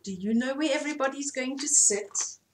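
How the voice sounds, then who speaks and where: A woman's voice: high voice, fairly quick. A middle-aged woman hosting storytime, reading and explaining a children's story expressively to small children. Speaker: storytime host. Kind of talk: read-aloud children's bedtime story. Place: small furnished room indoors.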